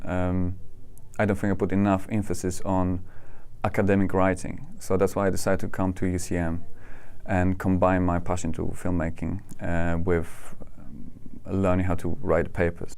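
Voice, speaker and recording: Deep-voiced man with a Polish accent. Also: level tone, moderate pace, darkish tone. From Poland. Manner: careful non-native phrasing with small restarts. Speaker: graduate student interviewee. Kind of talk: interview answers.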